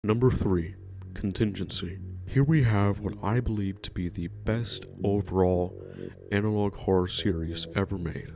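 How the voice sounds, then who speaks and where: A man's voice: low voice, measured pace, creaky, warm tone. A man narrating a ranking video in a scripted, enthusiastic way, swearing casually. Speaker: video host.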